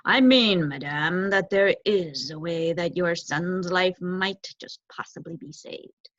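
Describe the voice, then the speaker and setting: A woman voicing a grave, formal male owl in a storybook, speaking in measured pronouncements. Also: lowish voice, no room sound. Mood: intent, deferential. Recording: dull, lo-fi close recording.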